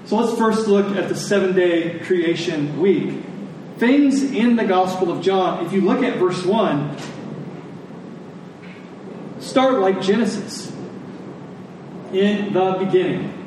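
A man preaching a sermon in a conversational, deliberate way, telling stories and explaining scripture, pausing and repeating phrases for emphasis. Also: high voice, darkish tone. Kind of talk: sermon with storytelling and scripture exposition. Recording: echoey room, distant mic, faint noise.

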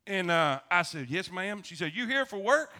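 A male pastor with a Southern US accent, preaching in a folksy, animated storytelling way and repeating phrases for emphasis. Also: high-pitched, normal speed, a little hiss or hum. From South Florida, United States. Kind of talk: sermon, folksy storytelling to a congregation.

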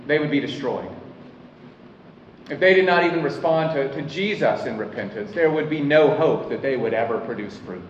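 A man preaching a sermon as pastor, earnest, slowing down to stress his key points. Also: mid-range voice, lively intonation, slight background noise. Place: church hall. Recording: echoey hall, mic at a distance, dull sound.

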